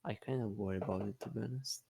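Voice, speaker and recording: A man who is not a native English speaker, chatting casually in a halting way with repeated words and many fillers. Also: lowish voice, creaky, warm tone, slightly rough. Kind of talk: casual hesitant chat.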